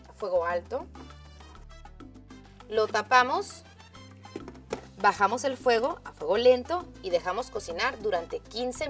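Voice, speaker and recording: Upbeat woman hosting a cooking show. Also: on the high side. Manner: warm, upbeat, addresses viewers as friends. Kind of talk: scripted cooking demonstration.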